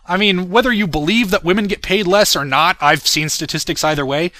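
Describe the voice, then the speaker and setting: A man's voice: high voice, a little fast, bright, forceful tone, no room sound. A man giving a casual, rambling commentary as the creator of a show, candid, self-deprecating and freely profane, often chuckling as they talk. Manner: chuckles often while talking. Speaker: creator recording a commentary on own parody series.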